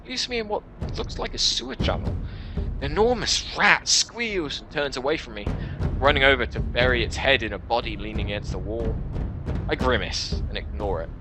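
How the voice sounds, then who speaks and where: A man's voice: mid-range voice, lively intonation, moderate pace, music in the background. A man reading a scripted first-person action story as a dramatic narration for a video game.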